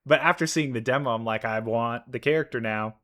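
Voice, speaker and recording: A man's voice: mid-range voice, brisk, fairly bright tone. A man giving casual, unscripted commentary as host of a reaction video. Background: faint music.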